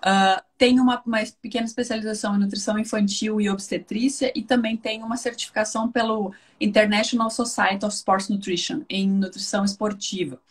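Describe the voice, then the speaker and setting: A woman's voice: medium pitch, steady tone, brisk, hardly any pauses. A woman with a southern Brazilian accent, explaining conversationally as a guest nutritionist in a live online talk, chatty, with emphatic repetition and frequent tag questions.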